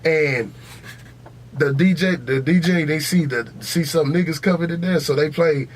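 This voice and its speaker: Podcast co-host: American male, a bit high-pitched, profane and insistent, some background noise. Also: moderate pace. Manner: blunt, emphatic, repetitive. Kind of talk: casual, profane podcast banter.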